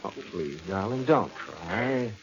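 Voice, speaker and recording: Animated, coaxing husband. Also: male voice, low-pitched, slow pace, heavily acted. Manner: coaxing, insistent. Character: earnest, coaxing doctor husband, reassuring, sometimes exasperated. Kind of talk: 1940s radio drama acting. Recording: muffled vintage radio, organ-era music behind.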